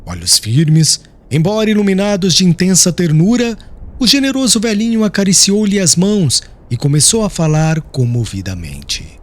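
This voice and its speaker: A man narrating an audiobook with a measured, expressive reading. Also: high voice. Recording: music under the narration. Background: background music.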